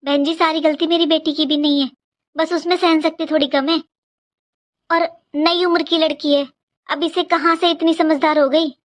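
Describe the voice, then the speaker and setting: A woman's voice: high-pitched, little pitch movement, moderate pace, close to an everyday voice. A woman voicing a worried, complaining elderly Indian mother in a cartoon family skit, plaintive and explanatory.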